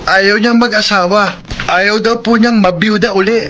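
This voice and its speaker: A man's voice: sits high, fairly even intonation, moderate pace, close to an everyday voice. A man playing a polite, chatty young son in a Filipino radio drama, respectful and eager, with a Filipino accent. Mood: tattling. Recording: noisy radio drama broadcast.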